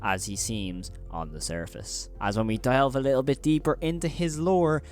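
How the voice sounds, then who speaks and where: A man's voice: medium pitch, normal speed. A man hosting a video in a commentary voice-over, talking in an emphatic, wordy way with repeated phrasing. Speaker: video host.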